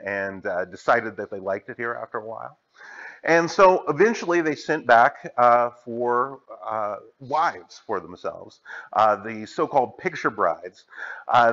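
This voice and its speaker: A man's voice: mid-range voice, bright, forceful tone, lo-fi sound. A man in his forties giving a guided tour in an indoor museum gallery, gesturing as he explains with frequent "uh" fillers, recorded by a camera a few steps away. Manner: gestures with both hands while explaining, frequent "uh". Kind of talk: spoken gallery tour lecture.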